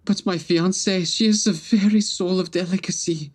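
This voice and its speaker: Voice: mid-range voice, fairly even intonation, moderate pace, natural voice. An actor playing a proud, anxious European king in a comedy audio drama, speaking in a grave, formal, pompous way. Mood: solemn.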